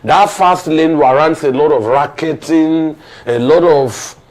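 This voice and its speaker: A man in his forties with a Nigerian accent speaks as a guest on a TV panel, in deliberate, formally worded phrases. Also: on the high side, darkish tone. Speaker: studio guest commentator. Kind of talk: TV panel discussion.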